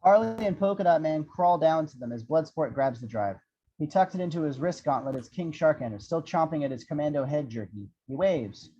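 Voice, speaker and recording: Higher-pitched man narrating, dull lo-fi sound. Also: lively intonation, a little fast, mellow. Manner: irreverent, wry asides. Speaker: narrator reading screenplay action descriptions. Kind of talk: animated table-read narration of an action script. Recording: dull, lo-fi audio.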